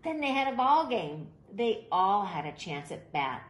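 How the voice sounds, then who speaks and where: Female storybook reader, lively and expressive pitch movement, children's picture book read-aloud, recorded in an echoey room with faint noise. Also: a little slow. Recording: roomy echo, faint background noise.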